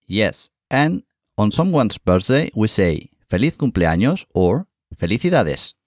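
Man modeling phrases in a lively voice. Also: fairly low pitch, no echo, studio quality. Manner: models phrases slowly and clearly, encouraging. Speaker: Spanish teacher, co-host. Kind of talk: scripted language lesson.

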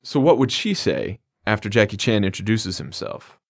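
A man's voice: on the low side, animated, normal speed, vocal fry, no room sound. A man co-hosting a scripted language lesson, explaining phrases in an upbeat, plain way. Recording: dry studio recording.

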